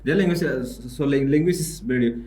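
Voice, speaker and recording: A man's voice: mid-range voice, fairly quick, mellow, roomy sound. A man from Bangladesh, an international student, chatting casually on a podcast. He talks hesitantly, with many fillers and restarts.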